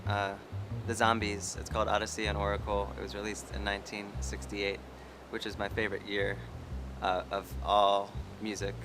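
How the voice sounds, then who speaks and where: A man's voice: on the low side, monotone, moderate pace, music in the background. A man from Delaware answering interview questions hesitantly with many fillers.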